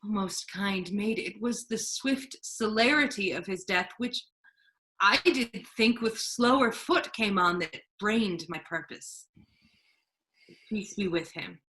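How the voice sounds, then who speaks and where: A woman playing a stern, authoritative male duke in a measured, formal Shakespearean stage reading. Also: medium pitch, pushed and bright. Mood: calm, consoling.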